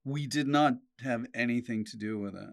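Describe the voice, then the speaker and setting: A man's voice: mid-range voice, normal speed, gravelly. A man hosting a podcast in casual chat, wry and joking, often jumping in. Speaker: podcast host.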